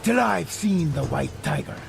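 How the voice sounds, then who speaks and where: An actor playing a tough, terse secret agent in a video game, gruff and dry. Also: male voice, on the high side, lively intonation, moderate pace, over music.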